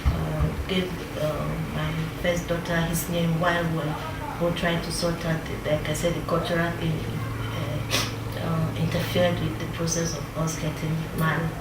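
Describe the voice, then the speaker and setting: Low-voiced Nigerian woman in her fifties. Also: level tone. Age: 50s. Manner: reflective, unhurried, with many pauses and fillers. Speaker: interviewee. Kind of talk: personal life story in an interview. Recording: roomy, distant mic with background noise.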